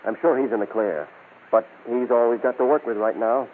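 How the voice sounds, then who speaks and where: A man acts a dutiful police sergeant in a vintage radio drama, medium in pitch and factual. The sound is narrow, with slight hiss. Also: brisk, natural voice. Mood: earnest, reporting. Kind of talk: old-time radio crime drama acting. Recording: tinny old radio recording, light hiss.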